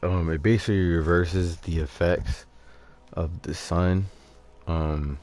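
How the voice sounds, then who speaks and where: A man giving casual game commentary, thinking aloud in an unhurried, halting way. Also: deep voice, fairly even intonation, a little mellow. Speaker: gamer narrating his own playthrough.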